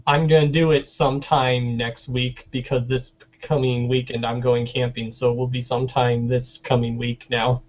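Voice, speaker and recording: A man's voice: medium pitch, level tone, moderate pace, few pauses. A man talking casually and informally, giving his opinions over a dull-sounding online hangout call. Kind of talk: casual chat. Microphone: online video hangout.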